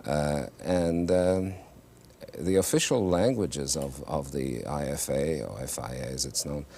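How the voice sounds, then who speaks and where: A man, an actor and singer whose first language is German, telling stories in a reflective, halting way with wry humor in a television interview. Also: a bass voice, gravelly, mellow. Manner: thoughtful, halting with many restarts, wry humor. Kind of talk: reflective conversational storytelling. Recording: faint hiss, 1970s TV studio.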